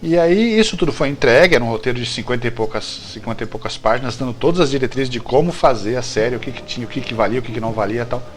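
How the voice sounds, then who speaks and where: A man's voice: medium pitch, animated, racing, few pauses. A Brazilian man in a casual interview, telling stories as a guest, often repeating phrases and checking in with the listener. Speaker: guest writer telling behind-the-scenes history of a TV series. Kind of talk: animated casual interview storytelling.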